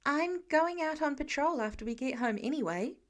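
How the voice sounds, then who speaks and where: A woman's voice: sits high, lively intonation, moderate pace. A woman reader takes on a teenage boy of fifteen in a story. He is stubborn and a bit grumpy, brushing off concern with defiance. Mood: grumpy insistent. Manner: grumbling, defiant.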